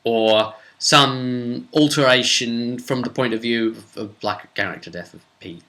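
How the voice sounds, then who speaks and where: A man's voice: medium pitch, a little slow, bright, forceful tone. A man with a British accent hosting a casual, unscripted tabletop role-playing recap as game master, chatty and rambling. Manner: rambling, chatty, acts out bits of dialogue.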